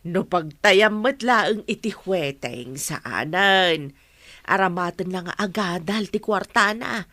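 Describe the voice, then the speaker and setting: An animated, protective Ilocano mother. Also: mid-range voice, slow, dry recording, studio quality, full character voice. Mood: defensive. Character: caring, protective older rural mother. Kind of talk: Ilocano radio drama acting.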